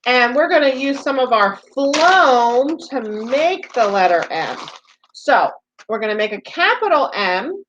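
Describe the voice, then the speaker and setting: A woman's voice: high-pitched, big pitch swings, measured pace, fairly bright tone, lo-fi sound, slight background noise. A woman teaching young children, explaining each step simply and patiently with plenty of repetition. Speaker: preschool teacher. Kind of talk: children's read-aloud lesson, talking to young children.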